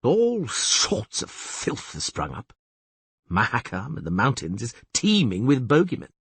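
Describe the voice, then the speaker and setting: A man voicing a pompous middle-aged male castellan who blusters loudly, his pitch swooping high. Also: huge pitch swings, measured pace, husky, no room sound, full character voice. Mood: grumbling. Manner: loud, theatrical, swoops high.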